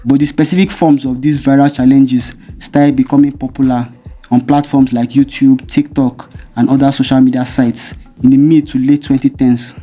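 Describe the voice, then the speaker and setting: Dark-voiced man narrating a video essay. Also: mid-range voice, little pitch movement. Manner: measured, explanatory. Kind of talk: scripted commentary narration.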